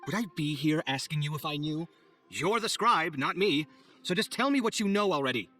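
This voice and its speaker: A proud young architect from a video game, easily exasperated and haughty. The voice is fairly high for a male, sharp and forceful, swinging dramatically in pitch. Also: expressive, moderate pace, soft background music. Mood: irritated, suspicious. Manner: indignant, theatrical, haughty.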